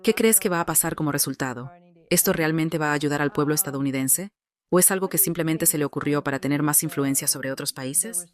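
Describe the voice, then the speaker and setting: Low-voiced female interviewer. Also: fairly quick. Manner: asks long, layered questions.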